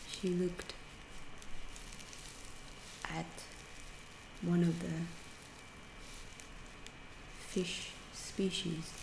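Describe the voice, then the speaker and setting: Woman acting a slow, hushed alien scientist in ASMR. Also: lowish voice. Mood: curious, musing. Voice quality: soft, breathy, often whispered. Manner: slow, detached, clinical, hushed and reassuring. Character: calm, curious alien scientist woman examining an abducted human. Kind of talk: ASMR roleplay. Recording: roomy echo, clear background noise.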